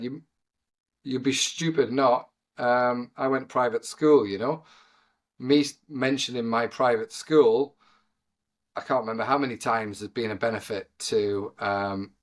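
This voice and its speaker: A man in his forties with a Geordie accent, a podcast guest who rambles with lots of pauses and "you know". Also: medium pitch. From North East England. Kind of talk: casual podcast conversation.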